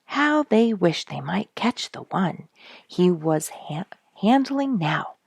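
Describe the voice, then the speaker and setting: A woman reading a children's animal story aloud in an expressive, dramatic storyteller's manner. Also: on the low side, slow, gravelly, breathy edge, no room sound. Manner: dramatic, rhetorical questioning delivery.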